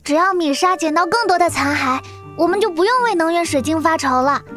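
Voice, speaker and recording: A woman voicing a young, innocent and blunt beast-folk girl in an animated fantasy drama, with a childlike, eager manner. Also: very high voice, a little fast, soft background music. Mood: hopeful, cheerful. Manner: childlike, refers to self by name.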